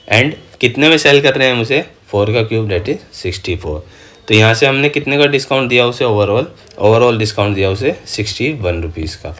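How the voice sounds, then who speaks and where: A man's voice: medium pitch, fairly bright tone. A man teaching a maths lesson step by step, often posing questions and answering them himself, with frequent check-in tags. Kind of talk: exam maths lesson, step-by-step worked solutions.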